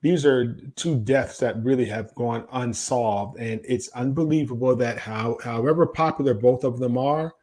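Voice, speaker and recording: A man's voice: medium pitch, normal speed, hardly any pauses, mellow. A man hosting a podcast in casual, slangy chat, often repeating phrases for emphasis. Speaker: podcast host.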